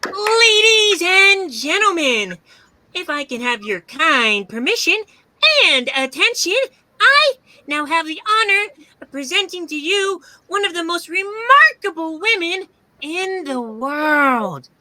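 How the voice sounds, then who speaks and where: A man's voice: extremely high pitch, very animated, slow pace, bright, forceful tone, full character voice. A man playing a grandiose, declamatory music hall announcer in a 1930s-style radio play. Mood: grand, proclaiming. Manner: declamatory, theatrical.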